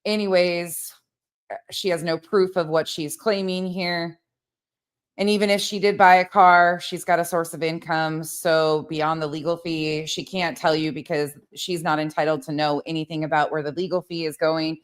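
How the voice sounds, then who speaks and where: A woman with a low voice gives informal online commentary. She is blunt and exasperated and swears casually. The sound is dry, with little room to it. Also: fairly even intonation, moderate pace, smooth, no room sound. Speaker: online commentator reacting to another streamer.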